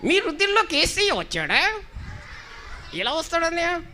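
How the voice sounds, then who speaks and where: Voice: very high voice, very animated, moderate pace, sharp tone, slight background noise, pitched above the performer's own voice, full character voice. A performer voicing a cheeky, childlike puppet doctor who boasts and makes mischief in a children's comedy show. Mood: cheeky, boastful. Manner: boastful, repeats own name, mischievous questioning.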